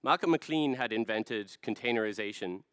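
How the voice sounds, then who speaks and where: Clear-voiced Irish man giving a talk. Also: on the low side, expressive, normal speed, a bit bright, no room sound. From Ireland. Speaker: conference speaker, product design director. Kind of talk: rehearsed conference presentation. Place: large dark stage, indoors.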